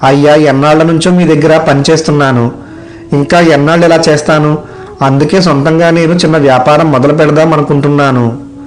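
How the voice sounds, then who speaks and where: A man playing a kind, hardworking village eatery owner in an animated moral story, polite and obliging. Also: on the high side, fairly quick, close to an everyday voice. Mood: earnest.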